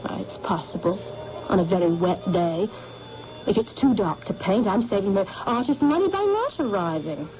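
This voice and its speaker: Cheeky Cockney model, mellow and a touch high, old dull radio sound. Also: female voice, big pitch swings, normal speed. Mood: saucy. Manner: blunt, saucy, drops aitches and says "me". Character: cheeky young Cockney woman, artist's model. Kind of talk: 1970s radio drama acting. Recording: dull old radio broadcast with faint hiss.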